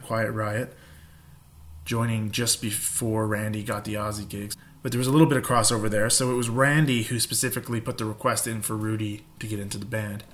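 A man's voice: mid-range voice, a bit bright. A man hosting a podcast in a casual monologue, irreverent and profane, with laughing asides. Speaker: podcast host.

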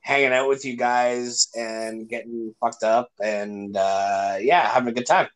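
A man's voice: mid-range voice, measured pace, rough recording. A man hosting a podcast, talking in a loose, friendly way and swearing often as he thanks his guests. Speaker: podcast host. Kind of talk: casual podcast chat.

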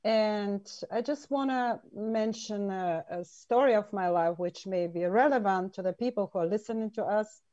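A Bulgarian-born woman in her fifties, an interview guest speaking in a second language and telling her life story in an earnest, measured way. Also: mid-range voice, warm tone, dry recording, muffled recording. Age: 50s.

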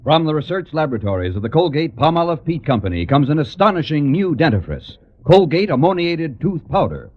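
A man's voice: on the high side, big pitch swings, brisk, few pauses, dull audio. An American man announcing and selling on 1940s radio in a polished, emphatic style. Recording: vintage radio with music, faint audience.

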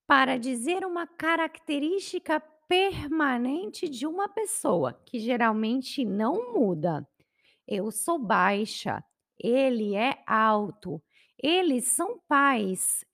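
A woman's voice: on the high side, a bit bright. A Brazilian woman with a Brazilian Portuguese accent teaching grammar, carefully enunciating each conjugation. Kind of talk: slow, clear grammar lesson.

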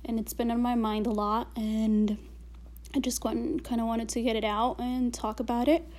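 A woman's voice: on the high side, little pitch movement, normal speed, darkish tone. A woman with an accent, talking casually and reflectively to listeners, full of fillers and frequent swearing. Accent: non-native accent.

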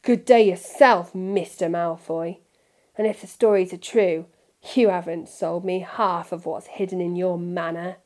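A woman's voice: on the low side, fairly even intonation, measured pace, a little mellow, close to an everyday voice. Woman doing a greasy, bowing shopkeeper, oily and sly. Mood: sour. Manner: oily and fawning. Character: oily obsequious male shopkeeper.

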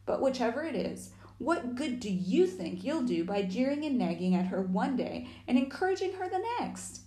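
A woman's voice: a little high, sing-song, moderate pace, warm tone, reverberant, voice pushed up. A woman voicing a stern older brother who scolds in a storybook reading. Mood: scolding.

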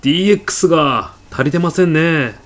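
A man's voice: on the high side, brisk, fairly bright tone, close to an everyday voice. A man playing a pushy, eccentric, absurdly self-assured supermarket customer in a comedy radio drama, arguing like an insistent salesman. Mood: puzzled, insistent. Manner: insistent, indignant salesman-like patter. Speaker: podcast actor.

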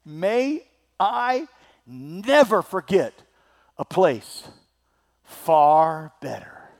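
A male preacher with a slightly scratchy throat, delivering a sermon that swings from loud declaiming to quiet asides. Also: sits high, big pitch swings, extremely slow.

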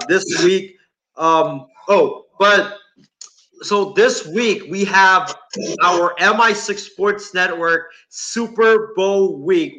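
Bright-voiced man thanking listeners. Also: high-pitched, dull audio. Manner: halting, searching pauses with many fillers. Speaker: sports podcast co-host. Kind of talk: casual sign-off, thanking listeners.

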